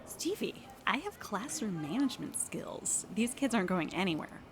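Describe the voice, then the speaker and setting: An actress playing a friendly, apologetic camp chaperone in an audio drama, casual and placating. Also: female voice, medium pitch, big pitch swings, normal speed, over music, close to an everyday voice. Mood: casual, reassuring.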